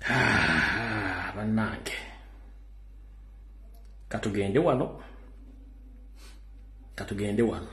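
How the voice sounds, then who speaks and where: A man's voice: on the low side, very slow, pauses often, sharp tone, reverberant. A Ugandan man giving emphatic live commentary, repeating his points for effect. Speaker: online commentator.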